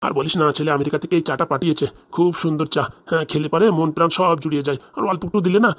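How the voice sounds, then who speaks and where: A man's voice: a little high, steady tone, racing, natural voice. A man voicing a consoling, homely middle-aged village friend in a comedy cartoon, chatty and reassuring. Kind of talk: Bangla comedy cartoon dialogue.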